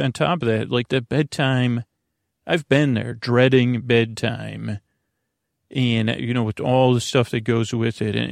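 A man with a creaky, gravelly voice, recorded close and dry. He hosts a bedtime show in a meandering monologue, often repeating himself and leaving phrases unfinished. His manner is self-deprecating. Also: mid-range voice, no echo. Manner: halting, repeats and trails off mid-phrase. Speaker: host of a bedtime podcast.

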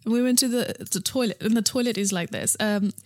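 A woman's voice: fairly high pitch, fairly quick, darkish tone, no room sound. A woman from Nepal, speaking as an interview guest in a frank, chatty way with many fillers and restarts. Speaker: interview guest, founder of a period underwear brand. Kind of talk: casual interview conversation.

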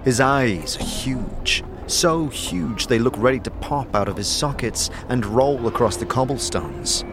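A man's voice: medium pitch, lively intonation, measured pace, over music. A man reading scripted second-person narration for a fantasy audio drama.

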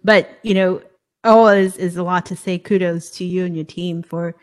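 A woman's voice: on the low side, steady tone, normal speed. Earnest woman from Hong Kong, interviewing. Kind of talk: conversational interview.

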